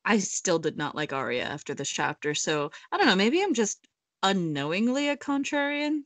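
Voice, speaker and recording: A woman's voice: medium pitch, very animated, moderate pace, fairly bright tone, no room sound. Casual woman, close dry sound. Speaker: book read-along panelist. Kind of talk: casual chat.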